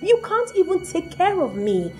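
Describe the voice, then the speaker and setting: Woman acting a high-voiced, haughty Nigerian girlfriend. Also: sing-song, moderate pace, warm tone, faint music behind. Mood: scornful, haughty. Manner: emphatic, scolding, repetitive. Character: haughty, materialistic young girlfriend, blunt and scornful. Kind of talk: comedic melodrama acting.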